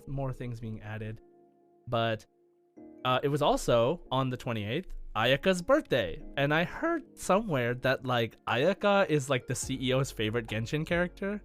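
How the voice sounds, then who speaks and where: A man with a medium-pitched voice hosts a casual gaming podcast. He speaks with enthusiasm, in halting bursts with emphatic stresses, over faint music. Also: a bit bright. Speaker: podcast host and quizmaster.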